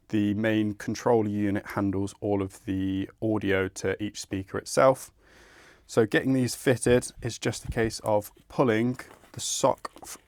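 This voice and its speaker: A man's voice: lowish voice, no room sound, clean studio sound. A man presenting an installation tutorial, explaining each step in a methodical, informal way. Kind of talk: instructional step-by-step tutorial narration.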